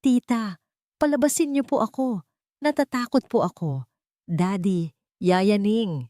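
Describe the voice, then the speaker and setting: A small, innocent girl in an audio story , played by a woman narrator. Her voice is high, timid and pleading, full of fright, and recorded dry. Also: unhurried, warm tone, dry recording, close to an everyday voice. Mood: terrified pleading.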